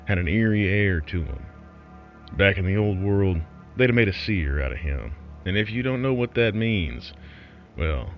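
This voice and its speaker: Man as a low, animated, folksy storytelling broadcaster. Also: dull audio. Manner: folksy, sardonic, conspiratorial. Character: wry, folksy male underground broadcaster telling eerie tales. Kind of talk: scripted horror storytelling as a broadcast.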